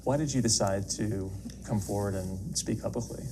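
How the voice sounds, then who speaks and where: Male reporter, creaky lowish voice, careful halting questions, pretty noisy recording. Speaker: reporter interviewing a guest. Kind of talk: measured interview questions. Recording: clearly noisy interview room sound.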